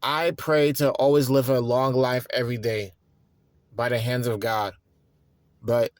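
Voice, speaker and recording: A man in his thirties, tired and stressed, talking in a rambling, halting way with frequent restarts in a reflective personal monologue. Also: mid-range voice, no echo. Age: 30s. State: tired and worn out. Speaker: vlogger.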